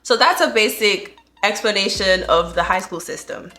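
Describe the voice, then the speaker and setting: Bright-voiced woman host chatting. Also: mid-range voice, normal speed, soft background music. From Nigeria. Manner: animated storyteller, teasing. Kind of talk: casual chat.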